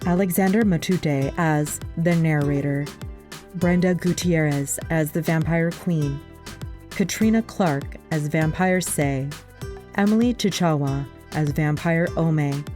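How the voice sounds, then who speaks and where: A woman's voice: low-pitched, mellow. A woman reading a scripted announcement as a show's credits announcer.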